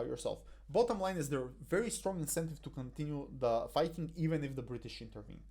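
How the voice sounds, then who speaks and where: Young man, warm and halting. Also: mid-range voice. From Bulgaria. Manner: hesitant, many fillers and restarts. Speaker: debate coach. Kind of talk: explaining a debate motion over slides. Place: small indoor room. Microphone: headset.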